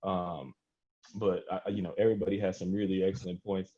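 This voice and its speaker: Man, lower voice, podcast host, slangy and profane, casual discussion, slightly dull lo-fi recording. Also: little pitch movement, a little fast, warm tone, a little hiss or hum. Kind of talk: casual podcast round-table discussion of music.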